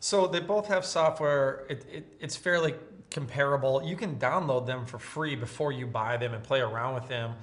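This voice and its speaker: A man in his thirties talks through a product comparison in a casual, conversational style. His voice sits at an average pitch for a man. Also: normal speed, few pauses, fairly bright tone. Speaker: product reviewer. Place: room with brick wall, at a table.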